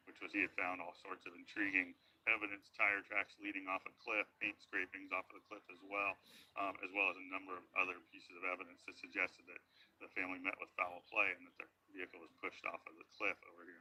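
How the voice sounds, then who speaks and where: A man's voice: sits low, little pitch movement, a little fast, bright, forceful tone, slightly rough, low-quality recording. A man explaining the details of an old case in a documentary interview, recounting events steadily. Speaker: researcher and author on a missing-family case.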